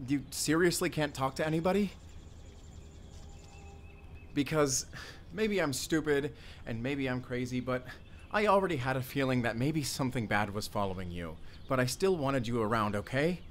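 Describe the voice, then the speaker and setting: A man acts out an earnest young man in love, hurt and pleading. His voice sits at an average male pitch. It is an intimate audio roleplay with faint ambient sound behind him. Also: lively intonation, moderate pace, a little hiss or hum, close to an everyday voice. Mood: upset, pleading.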